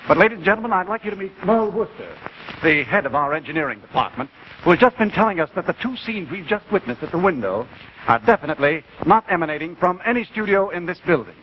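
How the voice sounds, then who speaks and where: An actor playing a polite, earnest TV announcer in 1950s live drama, formal and measured with hesitations. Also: male voice, high-pitched, very animated. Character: polite, earnest television announcer puzzled by mystery. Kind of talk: 1950s live TV presenter acting. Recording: dull 1950s TV audio, faint noise.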